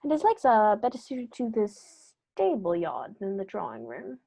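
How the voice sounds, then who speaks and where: A woman playing an eager, brisk male detective in a 1930s-style radio play, theatrical and self-assured. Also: mid-range voice, sing-song, vocal fry, dark tone, exaggerated voice. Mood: inquisitive.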